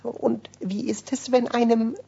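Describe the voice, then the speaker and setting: A woman's voice: a little high, flat delivery, measured pace, creaky, dark tone, husky, full character voice. A woman playing a naive, greedy little childlike goblin who asks literal, inquisitive questions in a children's radio play. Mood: curious. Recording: dull, lo-fi old radio recording.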